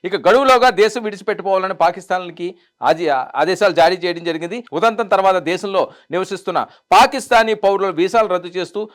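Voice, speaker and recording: Male news presenter, fairly high pitch, emphatic delivery, repeated phrases, commentary style. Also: fast.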